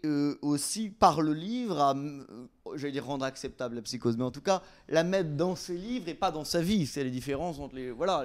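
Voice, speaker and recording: A man's voice: fairly high pitch, a little mellow. A young man asking conversational interview questions, wordy and rephrasing himself as he goes. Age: young adult. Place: indoor room with bookshelves. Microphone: handheld microphone.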